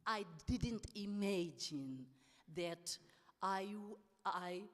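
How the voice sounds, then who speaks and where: Slow-speaking woman with a stutter. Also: lowish voice, lively intonation. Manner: stutters often, with many pauses and restarts. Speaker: politician and anti-racist activist. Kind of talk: panel talk. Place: large hall at a public event. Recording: long hall reverb.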